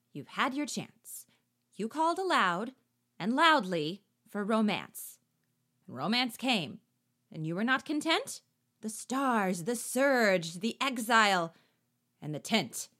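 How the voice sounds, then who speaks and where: Woman playing an anxious romantic girl, breathless, bright tone, slow lively delivery. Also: a little high, husky, exaggerated voice. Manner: breathless, exclaiming, quick mood swings. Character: romantic, flustered young girl.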